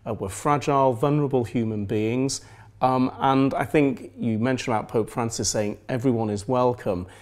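A man in his forties with a mid-range voice talks in a gentle, reflective way, pausing often on ums as he gathers his thoughts. He sounds like a priest hosting a calm interview in a quiet indoor room. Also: mid-range voice. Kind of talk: conversational interview. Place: indoor room with plain walls.

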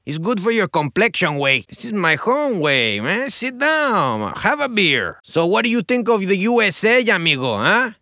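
A cocky Mexican cartel gunman from a video game, with a Mexican accent and a casual swagger. His voice sits a little high and swings freely up and down. It has a bright, forceful edge, and Spanish slang spices his easygoing talk. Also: big pitch swings, moderate pace, hardly any pauses, dry recording, studio quality, exaggerated voice. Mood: friendly swagger. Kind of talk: video game character voice lines.

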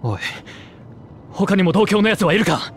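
A man's voice: sits high, animated, normal speed, soft background music. A man voicing a serious rabbit-eared wanderer in a video game, curt and insistent in manner. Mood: insistent, disbelieving. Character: terse, serious rabbit-eared male wanderer seeking his mother. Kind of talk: Japanese video game voice acting.

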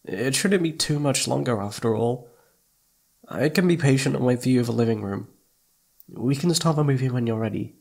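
A man acts out a clingy, loving young boyfriend in an ASMR audio roleplay. His medium-pitched voice is soft and pleading, stretching words out and hesitating as he seeks attention. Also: animated, moderate pace, vocal fry, breathy edge. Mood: apologetic, tender. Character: needy, affectionate young boyfriend wanting attention.

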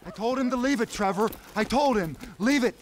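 An actor voicing a nervous, whining lackey in a video game, repeating himself anxiously. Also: male voice, very high-pitched, fairly quick, a little hiss or hum. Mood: nervous whine. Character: jittery, whiny male lackey. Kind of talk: video game cutscene acting.

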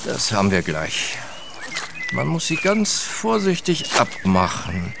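A man's voice: mid-range voice, huge pitch swings, takes it slowly, vocal fry, heavy background noise, exaggerated voice. An actor playing a knowledgeable, practical male doctor who explains things reassuringly in an audio play. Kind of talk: audio drama acting.

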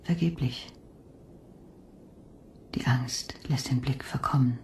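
Whispering, slow, low-voiced frail woman. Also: a little mellow. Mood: weary, bitter. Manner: whispering, drawn-out, solemn. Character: weary, frail sick woman reciting dark thoughts. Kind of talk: slow poetic German radio drama.

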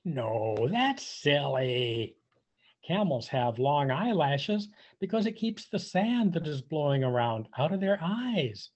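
An older man reading a picture book aloud to young children, with drawn-out emphasis and playful questioning. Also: fairly high pitch, sing-song. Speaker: volunteer storybook reader for young children. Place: indoors, on a video call. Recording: dull, muffled webcam audio.

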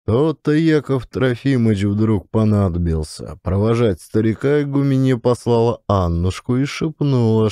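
A man's voice: on the low side, warm tone, no room sound, clean studio sound. A man reading classic fiction as a dramatic audiobook narrator.

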